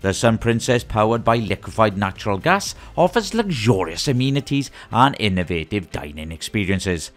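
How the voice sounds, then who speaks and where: A man presenting a scripted news roundup in a lively, sing-song delivery with rising greetings. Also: on the low side, moderate pace, hardly any pauses, soft background music.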